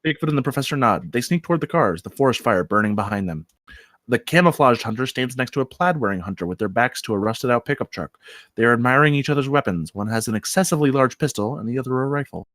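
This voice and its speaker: Fast-talking man reading screenplay action. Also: medium pitch, animated, a bit bright, no echo, clean studio sound. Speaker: script reader, narrator.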